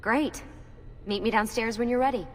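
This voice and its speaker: A woman's voice: fairly high pitch, moderate pace, close to an everyday voice. An actress playing an earnest, supportive young woman scientist in a video game cutscene. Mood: encouraging, reassuring. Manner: earnest, reassuring. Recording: noisy ambient background.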